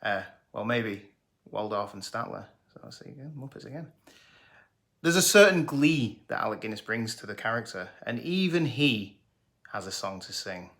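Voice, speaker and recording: A man's voice: on the low side, animated, normal speed, fairly bright tone. A man, presenting a scripted, jokey film review. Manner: jokey, sarcastic, enthusiastic. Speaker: movie reviewer.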